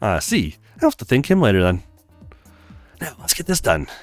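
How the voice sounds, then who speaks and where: Man voicing a friendly young handywoman, lively pitch. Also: normal speed, no echo, faint music behind. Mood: polite. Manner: courteous, easygoing, helpful. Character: friendly, polite young handywoman of twenty-four.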